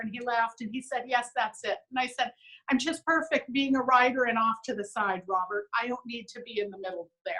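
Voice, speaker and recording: A woman's voice: a little high, low-quality recording. A middle-aged woman talking as an interview guest over a video call, upbeat and earnest, often acting out what others said. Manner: upbeat, earnest, quotes others in lively voices. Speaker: business owner, interview guest. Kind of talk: casual conversational interview.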